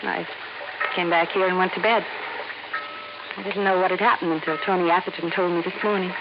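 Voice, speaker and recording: An actress plays a young American woman burdened by her past in a vintage radio mystery. She speaks earnestly and hesitantly. The recording is dull and clearly hissy. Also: fairly low pitch, steady tone, a little fast, lightly acted. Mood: subdued, worried. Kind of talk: 1950s radio drama acting.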